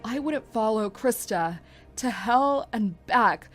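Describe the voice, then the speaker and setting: A woman's voice: a little high, lively intonation, slow, soft background music. A woman playing a protective, devoted young survivor in an audio drama, speaking bluntly and tenderly with intensity. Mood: pleading, intense. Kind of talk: amateur audio drama acting.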